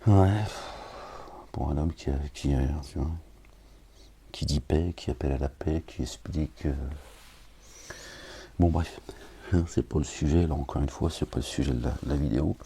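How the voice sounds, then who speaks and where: A man with an extremely deep, gravelly voice that sits dark and husky. He chats to listeners in a grumbling, wry way before moving into slow, measured scripture reading laced with Arabic invocations. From France. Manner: grumbling, wry asides, addresses listeners directly. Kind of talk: casual talk then solemn scripture reading.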